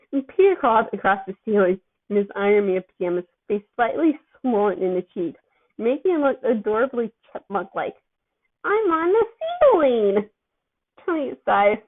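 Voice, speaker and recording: A woman narrating a story expressively as an amateur reader. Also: fairly high pitch. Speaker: amateur fan fiction reader. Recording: thin, phone-like narrow-band sound.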